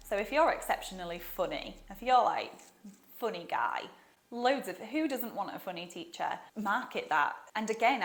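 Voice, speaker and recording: A woman with a Yorkshire accent giving casual, upbeat advice on teaching online, chatty and full of asides. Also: mid-range voice. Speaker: online English teaching coach.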